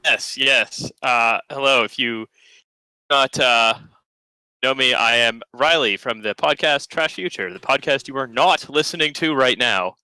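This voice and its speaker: A man's voice: medium pitch, unhurried, bright, forceful tone, smooth, no echo, rough recording. A man from Canada with a general North American accent, a podcast guest in casual chat, rambling and hesitant with lots of fillers.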